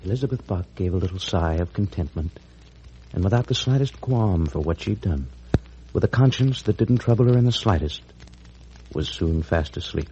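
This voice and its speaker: A male narrator of a vintage radio serial, measured and dramatic. His voice is low and very dark and mellow, on a muffled, noisy old recording. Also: animated.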